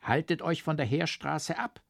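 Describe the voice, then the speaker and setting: A man's voice: fairly high pitch, moderate pace, gravelly, no echo, studio quality, pitched above the performer's own voice. A man voicing a sly, pompous village judge who speaks in grand, mock-formal tones. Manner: mock-formal, lively, declaiming.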